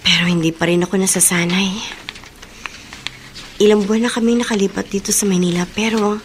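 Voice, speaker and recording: A woman's voice: mid-range voice, fairly even intonation, brisk, a little hiss or hum, lightly acted. An actress with a Filipino accent playing an aggrieved, unforgiving young mother in an emotional radio drama. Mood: wistful, musing.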